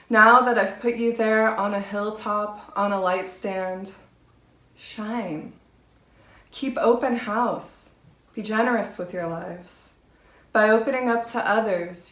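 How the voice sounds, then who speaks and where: A woman's voice: medium pitch, a bit bright. A woman reading scripture aloud as a church reader. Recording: roomy, echoing space.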